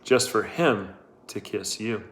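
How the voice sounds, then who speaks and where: Man doing a slow-drawling, leering drunk sheriff. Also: lowish voice, fairly bright tone. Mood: smug. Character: drunken, leering, menacing small-town male sheriff.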